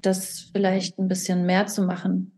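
A woman's voice: on the low side, monotone, normal speed, a little mellow. Candid young woman mixing in English. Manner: candid, rambling, mixes English phrases. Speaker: guest. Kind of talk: casual podcast conversation.

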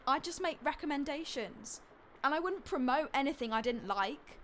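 A woman's voice: sits high, animated, normal speed, a bit bright, slight background noise. A woman playing an upset, defensive teenage girl vlogger, pleading and indignant, in an audio drama. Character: defensive, upset fifteen-year-old girl beauty vlogger.

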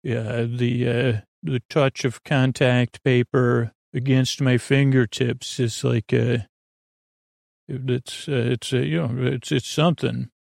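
Man, sleep podcast host, creaky fry, dry close sound, rambling, halting, repetitive. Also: mid-range voice, level tone, darkish tone, dry recording. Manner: meandering, halting, with repeats and trailing tangents. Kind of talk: rambling bedtime monologue.

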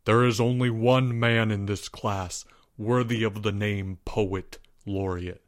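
A man doing a grave, hushed schoolboy. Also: steady tone, slow pace, dry recording, clean studio sound. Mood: solemn hushed. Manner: low, hushed, solemn. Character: grave schoolboy leading a class protest.

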